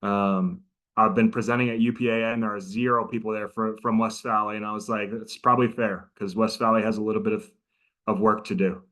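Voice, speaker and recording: A middle-aged man with a fairly low voice gives an online training talk. He speaks in a casual, chatty way and keeps turning to his listeners. The sound comes through a video call microphone. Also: flat delivery, brisk, bright, forceful tone. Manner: casual, chatty, interactive with audience. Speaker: prosecutor and trainer.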